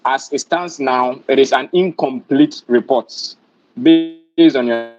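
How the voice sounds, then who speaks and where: A young man with a Ghanaian accent speaks at a medium pitch in a formal parliamentary register. His delivery is measured and deferential, carried over a dull, lo-fi online call. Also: over music. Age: young adult. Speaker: committee member in a youth parliament.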